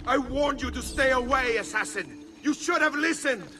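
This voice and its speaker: An actor playing an angry, threatened villain in a video game. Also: male voice, extremely high pitch, animated, normal speed, background noise. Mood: angry, alarmed. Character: angry, threatened male villain calling guards.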